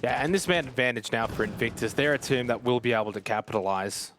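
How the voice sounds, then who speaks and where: A man's voice: medium pitch, quick pace, fairly bright tone, slight background noise. A man commentating on an esports match in a conversational, explanatory way. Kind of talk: analytical live match commentary.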